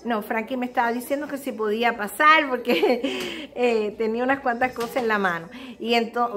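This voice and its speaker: A middle-aged woman with a Cuban accent speaks in a fairly high voice. She talks casually and earnestly, straight to her viewers, as if confiding and giving advice. It is recorded on a phone held at arm's length in a living room. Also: fairly bright tone. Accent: Cuban Spanish. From Cuba. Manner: earnest, addresses viewers directly, motherly advice. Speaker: vlogger. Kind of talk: casual confessional chat to camera. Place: small living room at home.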